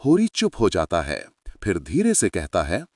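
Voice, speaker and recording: A man's voice: low voice, wildly swooping pitch, moderate pace, dry recording, clean studio sound. A man narrating a story in a dramatic scripted style, speaking to his listeners as friends.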